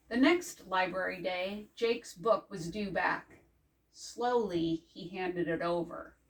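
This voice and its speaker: Slow-reading older woman, mic at a distance. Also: mid-range voice, fairly bright tone. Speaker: librarian reading aloud. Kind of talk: children's picture book read-aloud. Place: indoors before a green backdrop. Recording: distant microphone.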